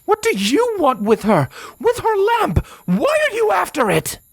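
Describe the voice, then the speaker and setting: Man voicing a shrill furious defiant young mare. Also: huge pitch swings, a little slow, vocal fry, dry recording, studio quality, full character voice. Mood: angry, demanding. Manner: demanding, indignant, growling outbursts.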